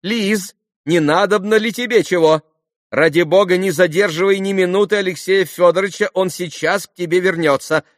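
A man voicing a gushing, excitable society lady, a fussing mother who talks in effusive, melodramatic repetitions, in an audiobook novel. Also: high-pitched, normal speed, dry recording, studio quality, voice pushed up, close to an everyday voice. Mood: flustered, pleading. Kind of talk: audiobook classic novel dialogue.

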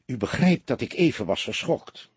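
A man voicing a wealthy, smooth businessman in an audiobook, formal and exclamatory in manner. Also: mid-range voice, very animated, normal speed, no room sound. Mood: affable, curious. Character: wealthy smooth businessman, theatrically distraught, then affably condescending. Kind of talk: audiobook character voice.

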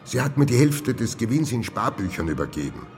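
A man's voice: lowish voice, very animated, fairly quick, darkish tone, faint music behind. An actor playing a pompous elderly general with operetta airs who muddles his words in a radio drama. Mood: confiding, earnest. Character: pompous retired operetta-singer type, an elderly male general. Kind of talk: radio crime drama acting.

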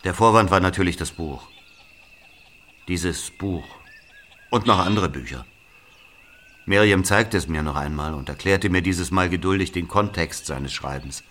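A man's voice: low-pitched, measured pace, pauses often, fairly bright tone, a little hiss or hum. A man playing a brooding Algerian narrator who recounts his life in a literary audio drama. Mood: reflective. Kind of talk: dramatic first-person narration.

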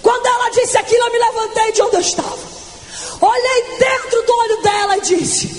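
A woman in her twenties preaching her testimony in a fervent, shouting evangelical sermon, stretching words for emphasis. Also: very high-pitched, fairly even intonation, a little fast, soft background music. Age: 20s.

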